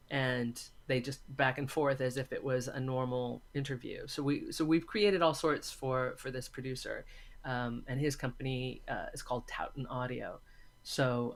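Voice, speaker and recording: A woman from California with an American accent, answering conversationally as an interview guest. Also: extremely low pitch. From California, USA. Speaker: interview guest, film lecturer and voice actor.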